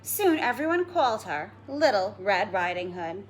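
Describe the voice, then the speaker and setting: A woman schoolteacher giving a read-aloud lesson for children, explaining simply and deliberately. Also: mid-range voice, sing-song, slight background noise. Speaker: elementary school teacher.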